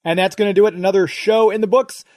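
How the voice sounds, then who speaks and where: A man with a slightly high voice hosts a radio show. He talks in a casual, friendly way, like someone chatting with a guest and his listeners. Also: steady tone, fast, a little mellow. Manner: friendly, complimentary. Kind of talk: casual interview and show sign-off.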